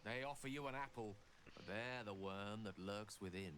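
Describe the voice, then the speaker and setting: A man's voice: lowish voice, normal speed, fairly bright tone, natural voice. A man playing an erudite, witty wizard in a video game, eloquent, wordy and theatrical. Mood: wry, shrewd. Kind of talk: video game dialogue acting.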